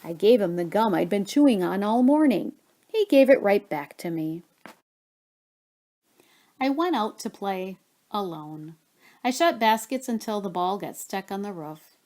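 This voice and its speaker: A woman reading a children's story aloud expressively, with dramatic pauses and stretched key words. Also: medium pitch, sing-song, mellow.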